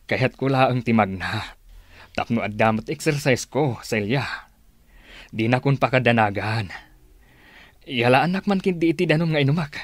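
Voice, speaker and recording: A man's voice: mid-range voice, expressive, normal speed, pauses often, dry recording, natural voice. A man acting in an Ilocano radio drama as a wary, defiant gunman, speaking dramatically as if to himself. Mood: subdued, guarded. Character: wary male gunman, defiant rival of a general.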